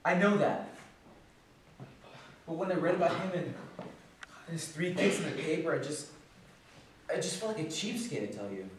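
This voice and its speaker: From far off in a very reverberant space comes a male actor as a bitter, agitated working-class clerk in a stage drama. His voice sits high and swings dramatically. He rambles in halting slang, like a man confessing and justifying himself. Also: expressive, natural voice. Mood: subdued, regretful. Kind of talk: theatrical stage monologue. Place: theatre stage. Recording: echoey distant stage recording, faint noise.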